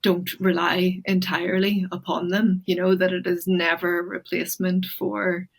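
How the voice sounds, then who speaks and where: A woman speaking as an interview guest, reflective and thoughtful, pausing often with fillers and restarts. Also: on the low side, flat delivery, vocal fry.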